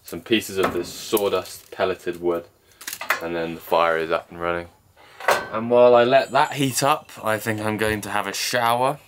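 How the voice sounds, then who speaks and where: Young male vlogger, lowish voice, casual and self-deprecating, handheld-camera sound from a snowy cabin. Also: steady tone, moderate pace, background noise. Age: young adult. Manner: chatty, self-deprecating, explains as he goes. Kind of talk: casual vlog narration. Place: snowy mountain cabin, indoors and out. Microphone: handheld camera at arm's length.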